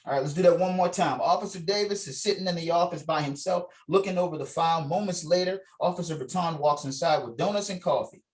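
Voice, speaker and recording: A man's voice: fairly high pitch, fast talker, hardly any pauses. A man leading a script read over a video call, talking casually and encouragingly and reading out scene directions. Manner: encouraging, informal. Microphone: video call microphone.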